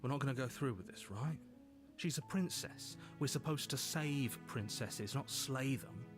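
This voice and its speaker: An actor voicing a naive, soft-hearted inner voice in a game, earnest and pleading. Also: male voice, medium pitch, normal speed, music in the background, close to an everyday voice.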